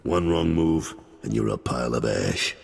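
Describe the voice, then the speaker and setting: A man's voice: a bass voice, lively intonation, moderate pace, creaky, breathy edge, big-room sound, full character voice. A man voicing a blunt, wary middle-aged crime lieutenant in a video game cut-scene. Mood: cautioning. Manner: blunt, warning.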